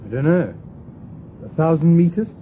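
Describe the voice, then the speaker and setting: An actor playing a polite, cautious young mathematician with a British accent, formal and hesitant, in a radio drama. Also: male voice, fairly high pitch, animated, normal speed, lightly acted. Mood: polite, unsure. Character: earnest, polite young male mathematician newly arrived, cautious. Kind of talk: BBC radio drama acting. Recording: phone-like lo-fi radio recording, clear hiss.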